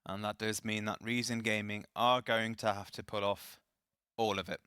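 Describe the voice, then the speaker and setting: A man casting a live esports match, chatty and self-deprecating, his voice slightly raspy. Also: on the low side, level tone, moderate pace, pushed and bright, no echo, studio quality. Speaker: esports caster.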